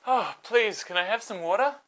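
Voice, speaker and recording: A woman's voice: medium pitch, expressive, moderate pace, voice pushed up, lightly acted. A woman voicing an exhausted, bossy male army general on the run in a children's Bible story. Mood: weary pleading.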